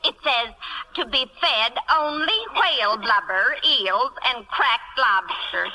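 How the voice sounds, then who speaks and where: An actress playing a chatty, easily impressed household servant with a folksy, eager manner in a 1940s radio comedy. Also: female voice, on the high side, takes it slowly, sharp tone, heavily acted. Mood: eager, excited. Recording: reverberant vintage radio broadcast.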